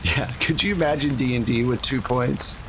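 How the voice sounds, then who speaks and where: A man's voice: medium pitch, moderate pace, background noise. A man running a tabletop game, improvising narration and chatting casually and jokily with players. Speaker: game master.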